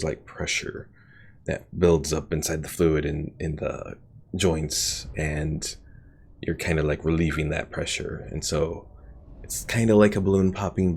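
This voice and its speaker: A man's voice: sits low, gravelly, a little hiss or hum. A man streaming a strategy game, chatting loosely and answering viewer questions in a rambling way full of "like" and "kinda". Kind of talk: casual live-stream commentary reading chat. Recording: game music playing under the voice.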